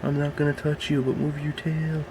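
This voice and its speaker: A young man with a very low voice, just out of bed, rambling softly in a casual vlog as he films his snake at home. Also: monotone, normal speed, a little hiss or hum, low-quality recording. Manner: rambling, soft-spoken, keeps his voice down. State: just woke up. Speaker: reptile keeper filming his snake. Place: at home beside a reptile rack.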